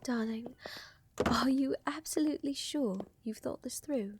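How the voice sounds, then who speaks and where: A woman playing a flirtatious, gently dominant vampire countess in an intimate ASMR roleplay, breathy and often whispering, with teasing, coaxing, formal wording. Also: high voice, measured pace, background noise. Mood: concerned, serious.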